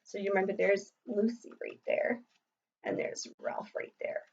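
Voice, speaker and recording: A woman's voice: medium pitch, steady tone, normal speed, a little mellow. A woman teacher reading a picture book aloud to children, explaining simply and checking in with questions, on slightly dull audio.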